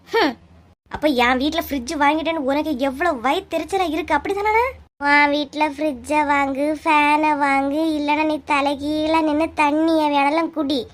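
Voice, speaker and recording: A woman voicing a feisty, quarrelsome village woman in a cartoon comedy, scolding and sarcastic in a Kanyakumari Tamil accent. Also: sits high.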